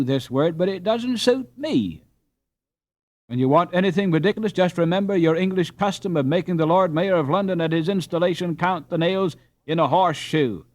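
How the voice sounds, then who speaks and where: A man acts a proud storybook schoolboy who bristles easily. The speech is emphatic and argumentative, with lively swings in pitch. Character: proud, easily indignant Dutch teenage boy.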